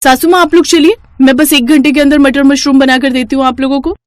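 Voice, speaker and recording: Young bride for a family cartoon voiced by a female narrator: sweet, earnest, eager to please, raised pitch. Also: fairly even intonation, a little fast, no room sound, clean studio sound, close to an everyday voice. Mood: obliging.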